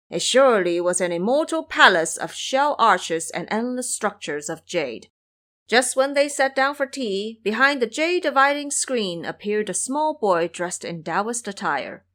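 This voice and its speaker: A woman's voice: mid-range voice, fairly bright tone. A woman giving formal, scripted storytelling narration for a fantasy audio drama.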